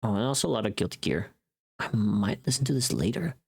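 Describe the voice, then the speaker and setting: Casual livestream host, medium pitch, loose rambling chat, often muttering to self between tasks. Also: lively intonation, quick pace, gravelly, breathy edge. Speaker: livestreamer, voice actor.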